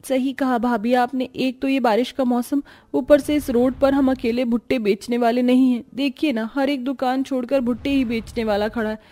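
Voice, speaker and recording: A woman's voice: high voice, steady tone, moderate pace, hardly any pauses, warm tone, natural voice. A woman voicing a hopeful, persuasive young sister-in-law in a cartoon story, earnest and upbeat. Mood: resigned. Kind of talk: cartoon story character dialogue.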